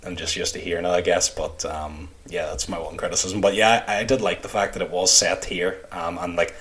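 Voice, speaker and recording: A man's voice: deep voice, fairly even intonation, a little fast, fairly bright tone. A man with a Northern Irish accent gives his opinions in casual book club talk, hesitantly and in loosely padded phrases. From Northern Ireland. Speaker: podcast co-host.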